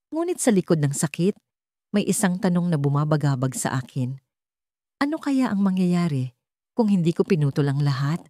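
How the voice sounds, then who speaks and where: A woman reading a scripted first-person sad love story in a dramatic, measured storytelling manner. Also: sits low, mellow, no echo, clean studio sound. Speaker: story narrator.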